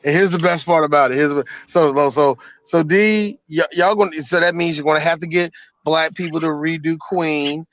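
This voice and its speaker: A man co-hosting a casual podcast over a call, excited and emphatic, swearing freely. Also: a little high, normal speed. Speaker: podcast co-host. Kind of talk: casual chat.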